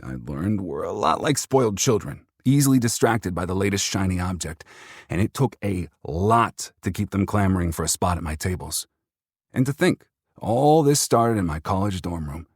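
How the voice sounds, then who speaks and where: A man's voice: sits low, slightly rough, dry recording, clean studio sound. A man reading first-person fiction as an audiobook narrator, performing the text in character.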